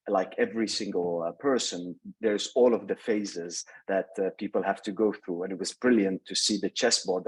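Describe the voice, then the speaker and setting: A man's voice: lowish voice, husky, rough recording. A man from Syria giving earnest academic remarks as a panel respondent, thoughtful and hesitant at times. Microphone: video call.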